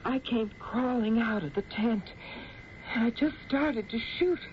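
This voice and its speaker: Actress doing stubborn old west lady, highish voice, dramatic and pushy, dull noisy radio drama sound. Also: unhurried, natural voice. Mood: shaken. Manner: emphatic, dramatic, repeats words for effect. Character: determined frontier woman, armed, distrustful, insistent.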